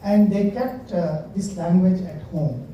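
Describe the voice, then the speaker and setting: A man whose first language is Konkani, an author answering a moderator's question in a thoughtful, soft-spoken way with pauses. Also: high-pitched, muffled recording. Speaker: author on a panel. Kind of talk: reflective conversational answer. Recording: echoey hall, distant mic, faint noise.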